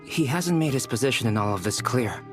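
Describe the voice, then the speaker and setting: A man playing a calm, courteous scholar in a video game, speaking in a measured, polite way. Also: medium pitch, music in the background, natural voice. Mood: composed. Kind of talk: video game dialogue acting.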